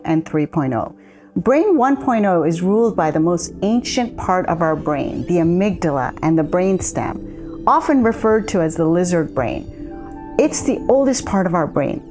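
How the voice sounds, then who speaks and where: A woman co-founder giving a measured, explanatory account in a scripted promotional conversation. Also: medium pitch, a little mellow. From immigrant. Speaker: co-founder of a coaching method.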